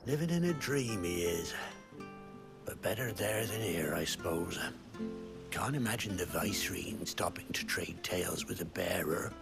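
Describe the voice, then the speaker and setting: An actor playing a chatty, wry village man in a game cutscene, with a British accent, gravelly and colloquial. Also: low voice, lively intonation, normal speed. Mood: wry, amused. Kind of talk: video game cutscene acting. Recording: music behind the voice.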